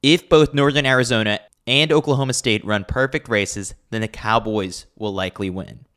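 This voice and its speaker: A man's voice: on the low side, moderate pace, a bit bright. A man hosting a podcast, reading a newsletter aloud in a scripted, wry and teasing delivery. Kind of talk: scripted read with dry humor.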